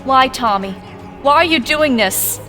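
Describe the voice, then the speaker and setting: A determined teenage girl in an audio drama, with a slightly high voice. The delivery is earnest and resolute, set over clear music. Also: expressive, a little slow, sharp tone, exaggerated voice. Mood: pleading, earnest.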